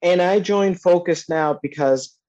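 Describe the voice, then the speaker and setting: A woman's voice: lowish voice, unhurried, mellow, highs cut off. A woman in her thirties, a coaching client, talking candidly and self-reflectively about her own habits. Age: 30s.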